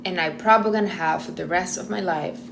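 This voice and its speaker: A woman's voice: lowish voice, fast talker, gravelly, noisy background. A woman in her thirties from Brazil, with a strong Brazilian Portuguese accent and Portuguese as her first language, chatting casually to camera between bites of food and often restarting her sentences. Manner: chatty, talks while eating, restarts often. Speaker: vlogger.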